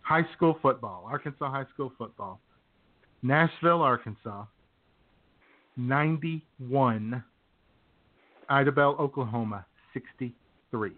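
A man's voice: medium pitch, slow pace, pauses often. A man co-hosting a radio show, chatting casually about sports. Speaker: co-host of a comedy radio show. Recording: tinny phone-like narrow band.

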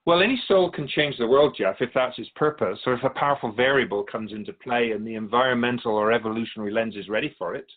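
A man playing an otherworldly keeper of souls in a radio drama, speaking in a measured, formal, philosophical way with patient wisdom. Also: medium pitch, lightly acted. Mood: solemn, explanatory. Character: otherworldly male keeper of souls, wise, patient, solemn messenger. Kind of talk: radio drama interview acting. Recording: dull lo-fi audio.

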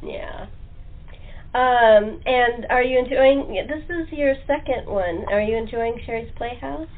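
High-voiced woman hosting over the phone. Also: unhurried. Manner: chuckles often while talking. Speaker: host interviewing a radio play cast. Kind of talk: casual chat. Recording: tinny phone-line sound.

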